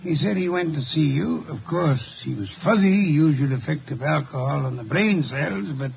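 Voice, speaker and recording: An actor playing a shrewd, imperious, eccentric detective in a 1950s radio drama, speaking in a deliberate, formal, probing way. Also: male voice, a little high, creaky. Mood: courteous, probing. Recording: dull, hissy 1950 radio recording.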